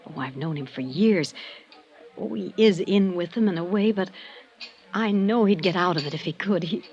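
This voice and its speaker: A woman's voice: fairly low pitch, darkish tone, slight background noise, close to an everyday voice. Small-town teacher, woman played by an actress: American, nervous, decent, hesitant and sincere, 1950s radio style, dulled vintage sound. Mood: earnest, thoughtful. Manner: hesitant, halting, earnest. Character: uneasy, earnest small-town schoolteacher woman, decent and conscience-stricken. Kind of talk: 1950s radio drama acting. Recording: dull lo-fi vintage radio recording.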